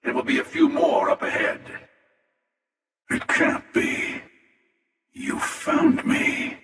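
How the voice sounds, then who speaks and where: An actor playing a menacing, world-weary interdimensional hunter in a video game, slow and taunting, with a gravelly rasp and an otherworldly echo. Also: male voice, very high voice, fairly even intonation, fairly bright tone, full character voice. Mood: smug, amused. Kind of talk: video game character dialogue. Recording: reverberant, distant echoing voice.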